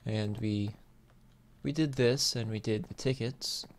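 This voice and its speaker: A man's voice: mid-range voice, steady tone, slow pace. A man casually commentating on his own gameplay, thinking aloud in an informal way as host. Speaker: host of a gaming video series. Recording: clear background noise, keyboard clicks. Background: computer keyboard and clicking.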